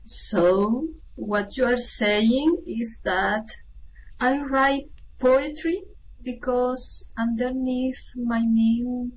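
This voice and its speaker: A woman performs a menacing alien ship's captain for a radio play. The delivery is extremely slow, imperious and deliberate, in a fairly high voice. There is noticeable background noise on the recording. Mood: scornful, threatening. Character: menacing, callous alien spaceship captain who writes poetry. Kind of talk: amateur radio play acting. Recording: noisy with background ambience.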